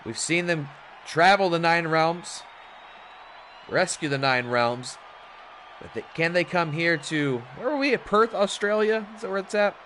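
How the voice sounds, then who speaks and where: A hoarse man commentating a wrestling video game on a stream, with animated, chatty hype. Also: a little high, a little slow, a little hiss or hum. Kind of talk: play-by-play commentary.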